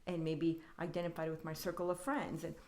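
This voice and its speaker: Woman guest on a podcast, kinda low voice, earnest and reflective, stacks her points one after another. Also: little pitch movement, fairly quick, warm tone. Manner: thoughtful, earnest, speaks in building lists. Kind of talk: reflective faith-based conversation.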